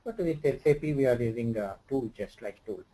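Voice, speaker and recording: A man with an Indian English accent explains things one-on-one as an instructor. His voice is mellow and soft-edged, and he speaks haltingly with lots of fillers and loose grammar. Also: mid-range voice, animated, rough recording. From Andhra Pradesh, India. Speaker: SAP HR instructor. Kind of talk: informal one-on-one tutoring.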